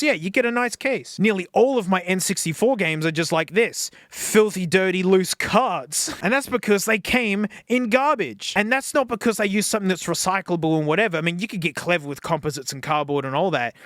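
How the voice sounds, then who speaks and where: Casting: Australian male vlogger, high voice, informal and jokey, excitable off-the-cuff product reviews. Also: normal speed, dry recording, clean studio sound. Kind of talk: casual, unscripted product review.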